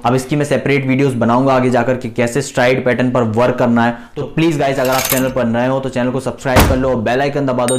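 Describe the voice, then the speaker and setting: Young male coach, 20s, earnest explainer, close lapel mic, small room. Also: mid-range voice. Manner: earnest, explanatory, repeats key points. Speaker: fast bowling coach. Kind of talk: instructional video talking to camera.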